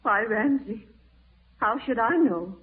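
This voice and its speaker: Through a thin vintage radio sound comes a high-voiced, deferential wife. She sounds dutiful and a little flustered, sweetly dodging whatever is put to her. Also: lively intonation, slow pace. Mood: flustered, evasive. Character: meek, evasive, anxiously dutiful farm wife. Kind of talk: 1940s radio horror acting. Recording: tinny vintage radio broadcast.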